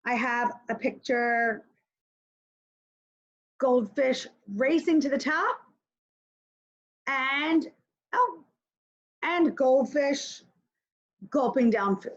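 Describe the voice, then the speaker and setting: A woman's voice: sits high, lively intonation, extremely slow, pauses often, dull audio. A woman kindergarten teacher giving a recorded reading lesson, speaking simply and instructively straight to young children, with questions and thinking aloud.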